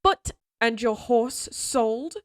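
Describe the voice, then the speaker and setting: A woman's voice: fairly high pitch, slow pace, dry recording. A woman acts out an imperious middle-aged lady of a fantasy castle. She speaks formally and with clipped command, in a very dry, close recording. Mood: angry, commanding. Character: stern, imperious noble mother of a lady knight. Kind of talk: scripted fantasy audio roleplay acting.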